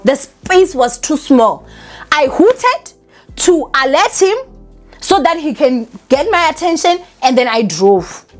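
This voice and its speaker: Young woman, high voice, very lively pitch, emphatic storytime vlogger. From South Africa. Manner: animated and emphatic, talks to viewers as "guys" and "honey". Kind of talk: storytime vlog.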